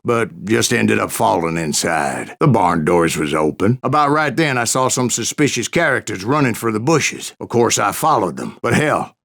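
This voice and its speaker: An actor playing a grizzled old bounty hunter, a boastful, folksy storyteller with a gravelly Western American drawl in a video game. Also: male voice, mid-range voice, fairly bright tone. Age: older. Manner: folksy, salty, yarn-spinning. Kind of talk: dramatic first-person video game narration.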